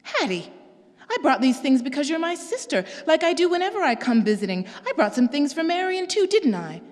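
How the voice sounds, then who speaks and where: A proud, meddling older sister as a woman reader puts her on, her voice pitched high and rising when she presses her point. The room carries a long echo behind her. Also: brisk. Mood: indignant. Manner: high, pleading, excitable voice. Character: proud, pushy older sister, well-meaning and tearful. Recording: long reverb.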